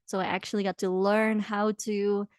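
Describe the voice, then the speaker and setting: A woman's voice: medium pitch, flat delivery, normal speed, clean tone, dry recording, clean studio sound. A woman in her twenties from Belgium, a guest on a remote interview, talking in a chatty way and laughing often. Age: 20s. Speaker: guest, health coach. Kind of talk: casual remote interview chat. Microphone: video call microphone.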